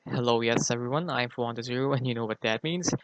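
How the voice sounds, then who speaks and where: A man's voice: mid-range voice, fast, clean tone, dry recording, poor audio. A man delivering a scripted review as a guest segment on a podcast.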